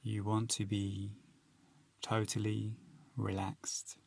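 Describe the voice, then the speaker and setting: A man leading a guided meditation in a calm, unhurried, instructional manner with long pauses. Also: lowish voice, vocal fry.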